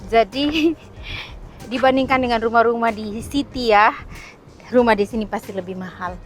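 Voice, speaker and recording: Chatty woman from eastern Indonesia, higher voice. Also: normal speed, soft background music. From East Nusa Tenggara, eastern Indonesia. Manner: chatty, with frequent "eh" fillers and short laughs. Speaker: travel vlogger. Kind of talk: casual walking vlog chat. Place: outdoors on a beach.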